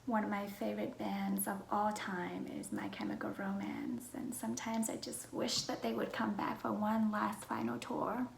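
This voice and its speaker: A young adult woman from Vietnam with a Vietnamese accent, talking casually and softly with a breathy voice as she answers personal questions for her viewers. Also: mid-range voice, level tone, moderate pace, few pauses. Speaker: vlogger. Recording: roomy echo, faint background noise.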